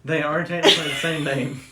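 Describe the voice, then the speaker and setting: A woman's voice: sits low, steady tone, normal speed. A woman hosting a show, explaining things in casual, conversational chat. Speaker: host. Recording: faint background noise.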